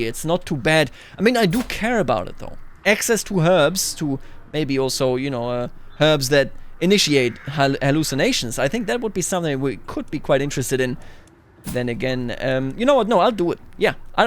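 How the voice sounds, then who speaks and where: Male game streamer, slightly high voice, casual and expressive, thinking aloud between narrated passages. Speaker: gaming video host. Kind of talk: casual game commentary mixed with reading in-game story text aloud.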